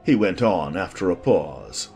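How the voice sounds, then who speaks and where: A man's voice: deep voice, very animated, measured pace, vocal fry, a little mellow, faint music behind. A man reading scripted storybook narration as the narrator of an audiobook.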